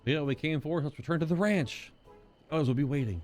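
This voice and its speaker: A man reading a spirited young catlike princess from a game, raising his voice higher and sounding eager. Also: quick pace, darkish tone, soft background music, lightly acted.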